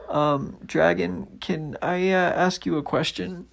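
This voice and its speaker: A man's voice: sits high, fairly even intonation, takes it slowly, creaky, a little hiss or hum, voice pushed up, heavily acted. A man voicing a brave but flustered young girl on a quest, talking in a breathless, apologetic, stammering way. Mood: flustered pleading.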